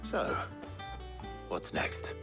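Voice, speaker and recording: An actor playing a gruff, steady British leader in a video game, speaking tersely with dry understatement. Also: male voice, extremely slow, over music. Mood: businesslike.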